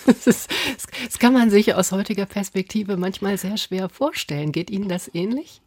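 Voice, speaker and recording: A woman's voice: mid-range voice, normal speed, a little mellow, husky, dry recording. A woman hosting an interview, putting prepared questions in a conversational style. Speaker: interviewer, host.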